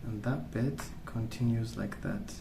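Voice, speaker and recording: A man speaking softly in a hushed, unhurried ASMR ramble, musing as he goes. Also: on the low side, steady tone, gravelly, roomy sound, background noise. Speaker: ASMR video host. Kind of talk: soft-spoken ASMR rambling while colouring.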